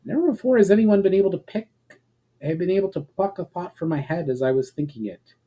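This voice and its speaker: A man's voice: sits high, expressive, quick pace, dark tone, breathy edge, pitched above the performer's own voice. A man voicing a noble male elf warrior in a fantasy storybook, earnest, courteous and formal. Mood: impressed.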